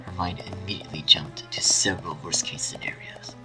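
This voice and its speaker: A man narrating a scripted dark fan story in a dramatic storytelling style. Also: sits low, fairly even intonation, fairly quick, in a whisper. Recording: faint music behind.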